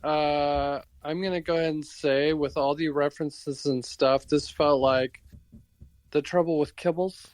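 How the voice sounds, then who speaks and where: A man's voice: a little high, steady tone, a little slow, no room sound. Easygoing man co-hosting, in a dry sound. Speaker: podcast co-host. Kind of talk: casual conversational commentary.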